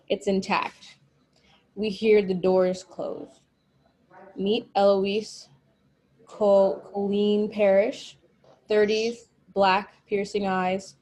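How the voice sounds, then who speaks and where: A woman reading stage directions from a script in a plain, measured style. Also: mid-range voice, darkish tone.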